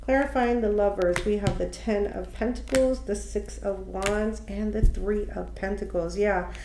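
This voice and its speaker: A woman with a slightly nasal, stuffy voice talks through a tarot reading in a casual, musing way. She speaks very slowly and trails off with ums and restarts. The room sounds echoey, with a faint hiss behind her. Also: mid-range voice, steady tone. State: stuffy from allergies. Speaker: tarot reader.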